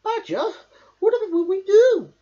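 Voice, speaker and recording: A young male voicing a worried, excitable little storybook hedgehog in breathless exclamations. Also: extremely high pitch, normal speed, lo-fi sound, pitched above the performer's own voice.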